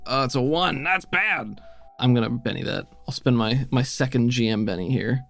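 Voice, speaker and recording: A man's voice: mid-range voice, normal speed, vocal fry, fairly bright tone, a little hiss or hum. A man acting as game master of a tabletop role-playing game, talking casually.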